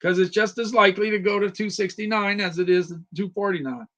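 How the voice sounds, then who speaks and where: High, bright-voiced man chatting repetitively. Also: fast talker, muffled recording. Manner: repetitive, chatty, talks to viewers by name. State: slightly worn voice. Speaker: livestream host reviewing deals. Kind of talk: casual live product chat.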